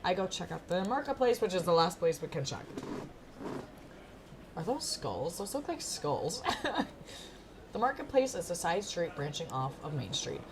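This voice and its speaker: A woman's voice: on the low side, sing-song, normal speed, long pauses, background noise. A woman reading a visual novel aloud expressively, with informal, casual asides. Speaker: gaming content creator. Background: music.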